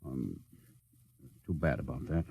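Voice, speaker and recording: An actor playing a commanding, short-tempered rocket ship captain in brisk 1950s radio style. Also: male voice, very deep voice, expressive, creaky, dark tone, slightly rough, exaggerated voice. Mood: calm authority. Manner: brisk, authoritative.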